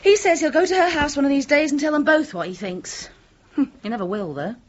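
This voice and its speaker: A woman's voice: high-pitched, animated, a little fast. An actress playing a chatty, reasonable British woman gossiping in a radio play. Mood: chatty, placating. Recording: dull, muffled radio drama recording.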